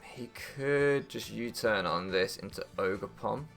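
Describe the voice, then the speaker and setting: A man's voice: on the low side, unhurried, gravelly, a bit bright, faint music behind. A young man doing casual strategy game commentary, thinking aloud and deliberating as he goes, with hesitations. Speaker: gaming YouTuber.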